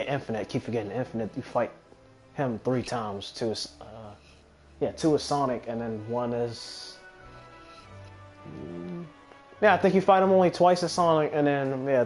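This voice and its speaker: Male gaming commentator, medium pitch, casual and hesitant, rambles and self-corrects, music under the voice. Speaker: gaming video host.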